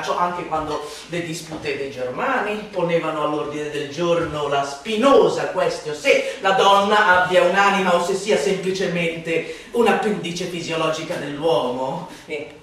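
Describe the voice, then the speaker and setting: Echoey theatrical woman, mocking and declamatory. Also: on the low side. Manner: mocking, declamatory, swooping from hushed slow phrases to loud sing-song. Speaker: stage performer reciting a literary monologue. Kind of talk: theatrical recitation of a satirical literary text. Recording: very echoey room, distant mic, light noise.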